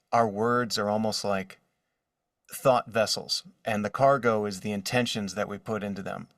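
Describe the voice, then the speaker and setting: A man in his forties speaking casually as an interview guest, telling stories in an animated manner from his studio. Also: fairly low pitch, no room sound, distant mic. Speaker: guest, voice actor. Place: his own voiceover studio.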